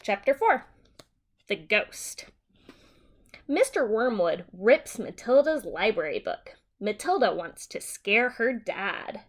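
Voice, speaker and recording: A woman teacher reading a simplified children's story aloud and explaining it in a deliberate, simple way for young children. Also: mid-range voice, very animated.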